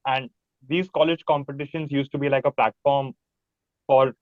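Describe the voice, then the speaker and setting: A man's voice: mid-range voice, steady tone, normal speed, dry recording. A man with an Indian accent, a musician being interviewed, chatting casually in a rambling, enthusiastic, informal way. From Mumbai, India. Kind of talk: casual chat. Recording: thin phone-like sound, fairly dry.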